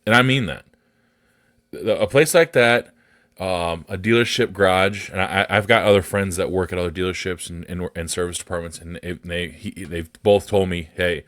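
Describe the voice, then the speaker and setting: American male podcast host, low bassy voice, close dry sound, blunt and sweary unscripted rant. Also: a bit bright, no echo. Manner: blunt, profane, indignant.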